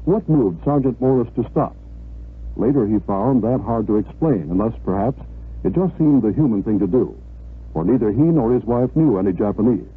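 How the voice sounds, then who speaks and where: A man's voice: mid-range voice, husky. An American man reading scripted narration as an announcer on 1950s radio. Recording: old narrow-band radio broadcast.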